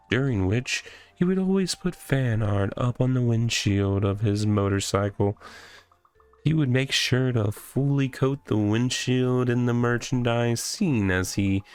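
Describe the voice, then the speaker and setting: A man voicing a deadpan, mock-solemn storyteller who narrates absurd inner monologue in a video game. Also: medium pitch, vocal fry.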